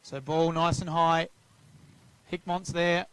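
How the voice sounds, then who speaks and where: A man's voice: on the high side, slow pace, smooth, rough recording. An Australian man giving live play-by-play commentary on a local football match. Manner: steady, even play calling.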